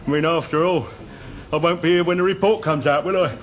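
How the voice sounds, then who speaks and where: An actor playing a grumbling, officious, self-pitying boss in a sitcom. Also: male voice, fairly high pitch, fairly even intonation, fairly quick, natural voice. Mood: gloomy. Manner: officious, complaining. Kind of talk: broad sitcom acting.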